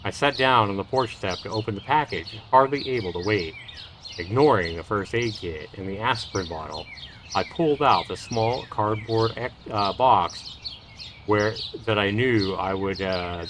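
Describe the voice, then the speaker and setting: A man hosting a livestream, reading a visual novel aloud in a measured storytelling way. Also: on the low side, background noise. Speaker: livestream host. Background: loud music and birdsong.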